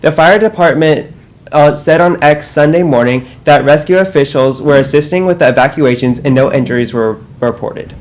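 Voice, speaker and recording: A man's voice: a little high, normal speed. A teenage boy co-anchoring a school news show, reading announcements steadily with small slips. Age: teens.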